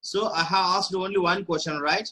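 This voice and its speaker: A man's voice: high-pitched, steady tone, moderate pace, sharp tone. A man, teaching a class and drilling students with short repeated questions. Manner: patient, repeating short prompts.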